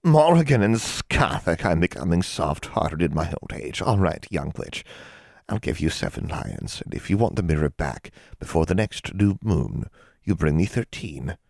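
A man voicing a sly old female hag who lends money, teasing and cackling with drawn-out words. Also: sits low, sing-song, gravelly, a little mellow, dry recording, studio quality, heavily acted. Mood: mocking.

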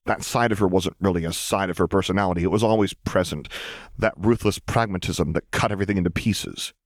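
A man's voice: deep voice, fairly quick, no echo, clean studio sound. A man reading a scripted story aloud as an audiobook narrator.

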